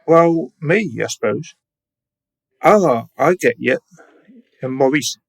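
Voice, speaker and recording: An actor plays a naive, loyal footballer in a radio play. The voice is creaky and medium in pitch, with a hesitant, trusting manner. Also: expressive, slow pace, full character voice. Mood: naive, pleased. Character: naive, loyal, well-paid young footballer. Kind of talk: radio drama acting.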